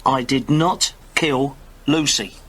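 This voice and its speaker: A man's voice: mid-range voice, slow pace, a bit bright. An actor playing a creepy, defensive father who insistently denies accusations in a police interview in an audio drama. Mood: angry denial. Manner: insistent, defiant denials.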